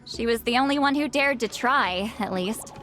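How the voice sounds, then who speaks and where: A woman's voice: fairly high pitch, normal speed, music in the background, natural voice. A woman voicing a blunt, grumbling, centuries-old shaman in a scripted video game. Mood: reminiscing, dry. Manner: brusque, grumbling, casual. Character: centuries-old female shaman, youthful-sounding, blunt and testy.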